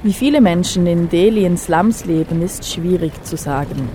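A woman narrates a radio reportage in a calm, even, scripted read. Her voice sits low and mellow, with faint vehicle noise in the background. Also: normal speed.

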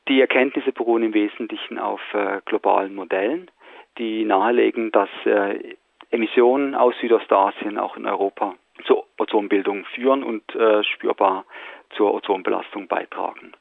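A low, gravelly man speaks over a thin, phone-like line. He answers interview questions as a scientist, pausing often with fillers. The sound is dry and close. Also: steady tone, no room sound. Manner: hesitant, many fillers.

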